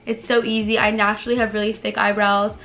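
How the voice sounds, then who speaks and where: Bubbly young woman, a little hoarse. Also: medium pitch, little pitch movement, fast, a bit bright. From United States. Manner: bubbly, chatty, many "like" fillers. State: slightly hoarse from allergies. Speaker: beauty vlogger. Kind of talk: casual makeup tutorial chat.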